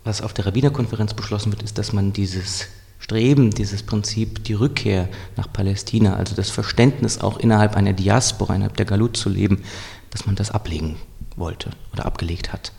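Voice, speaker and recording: A man answering interview questions in a measured, explanatory way, often restarting his sentences. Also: fairly low pitch, fairly even intonation, creaky, a little mellow, big-room sound. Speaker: historian guest.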